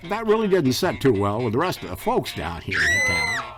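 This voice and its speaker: A man's voice: mid-range voice, huge pitch swings, moderate pace, raised voice. An actor playing a naive, folksy Mississippi country man in a campy radio comedy, with a Southern accent and a stammering, overeager manner. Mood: folksy, rambling. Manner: stammering, chuckling, overeager, rambling. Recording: music behind the voice.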